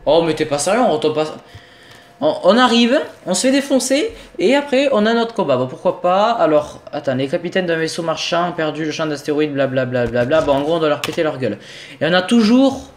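A man's voice: on the high side, animated, brisk, slight background noise. A young man with a cold, casually commentating his own gameplay, exasperated and swearing as things go wrong. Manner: swearing, exasperated, talking to himself while playing. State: sick with a cold. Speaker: gaming video host. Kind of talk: casual let's-play commentary.

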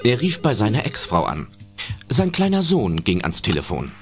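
A man's voice: fairly low pitch, wildly swooping pitch, normal speed. A man reading scripted audio drama narration as a storyteller. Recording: narration over loud film music.

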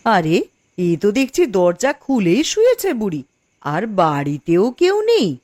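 A woman's voice: mid-range voice, huge pitch swings, unhurried, a little mellow, no echo. A woman voicing a discontented, ruthless village wife in a cartoon, dramatic and scheming. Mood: cold menace. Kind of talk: Bengali fairy-tale cartoon voice acting.

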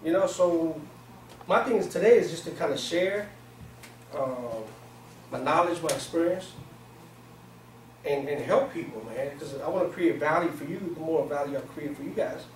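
A man in his forties speaks informally to a small group as a seminar speaker, with streetwise phrasing and English as a second language. His voice sits a little high. It is caught from across an echoey conference room with faint noise. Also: normal speed, pauses often. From Pakistan, grew up in Virginia, US. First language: not English. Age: 40s. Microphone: far camera microphone. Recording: echoey room, distant camera mic, noisy.

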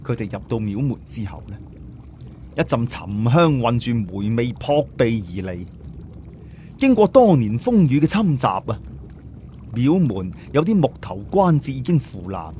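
A man's voice: mid-range voice, dark tone, slight background noise. A man with a Cantonese accent narrating an audiobook story in a dramatic, scripted storytelling style.

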